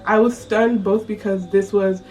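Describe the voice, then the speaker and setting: A woman's voice: mid-range voice, steady tone, measured pace, a little mellow. A sixteen-year-old girl earnestly recounting a childhood experience. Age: teens. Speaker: interviewee. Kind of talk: personal testimony. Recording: distant mic with faint music.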